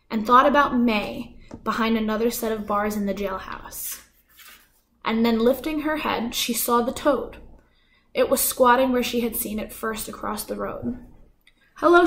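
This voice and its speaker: A young woman with a slightly high voice reads a chapter book aloud at an unhurried pace. She tells the story gently and softens her tone for the characters, recorded on a webcam in her living room. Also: slight background noise. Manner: calm, gentle storytelling, soft dialogue voices. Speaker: guest reader. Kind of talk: reading a children's novel aloud.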